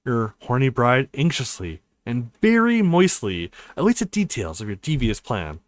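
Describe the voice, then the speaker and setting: A man's voice: medium pitch, sing-song, normal speed, no room sound. A man hosting a let's play, reading a visual novel aloud expressively with casual reactions.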